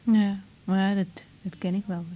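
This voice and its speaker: An actress playing an overworked young woman doctor in a radio drama, caring and down-to-earth, husky and matter-of-fact with hesitant restarts. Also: mid-range voice, level tone, unhurried, muffled recording, a little hiss or hum, natural voice. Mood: gently probing. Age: young adult. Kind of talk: naturalistic radio drama acting.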